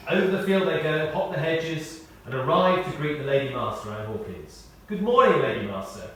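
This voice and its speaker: Guy reading out a tribute in a big echoey space, kinda far from the mic, wry measured storytelling. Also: fairly high pitch, lively intonation, fairly bright tone. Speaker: tribute speaker. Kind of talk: read-out memorial tribute with anecdotes. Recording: echoey hall, distant, faint noise.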